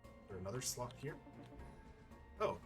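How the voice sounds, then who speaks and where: A man's voice: mid-range voice, very animated, normal speed, a bit bright, faint music behind. A man doing casual let's-play commentary, thinking aloud in a rambling, playful and sometimes profane way. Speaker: gaming YouTuber playing and commenting.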